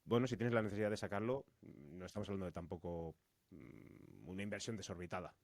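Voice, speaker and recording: A man's voice: low-pitched, fairly quick, no room sound. A man with an accent from Spain co-hosting a podcast, explaining points conversationally and with emphasis. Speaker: podcast host.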